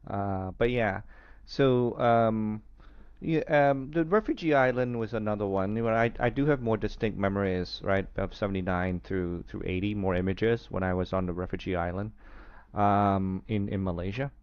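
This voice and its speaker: A low-pitched man in his fifties with an American accent tells his story slowly, stopping often on fillers and restarting his sentences. The sound is dull and muffled, close and dry, with no room around it. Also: clean tone, no echo. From Vietnam. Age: 50s. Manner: hesitant, many fillers and restarts, light laughs. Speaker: podcast guest. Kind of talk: reflective storytelling interview.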